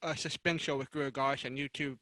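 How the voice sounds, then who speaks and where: A man moderating a panel informally on a video call, asking questions with halting, repeated phrases. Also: medium pitch, fairly even intonation, bright, forceful tone, no echo. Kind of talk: informal interviewing.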